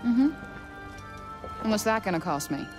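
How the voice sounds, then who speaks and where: An actress playing a brash, sardonic young adventurer in a video game, with a casual, slangy, sarcastic way of talking. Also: female voice, medium pitch, expressive, measured pace, natural voice. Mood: suspicious. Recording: music behind the voice.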